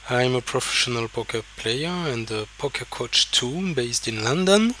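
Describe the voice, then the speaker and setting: A man with a French accent, a native French speaker, coaching poker as he explains his hands over a recorded session. He talks in a halting way and repeats words while he thinks aloud. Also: mid-range voice, lively intonation, sharp tone, slight background noise, low-quality recording.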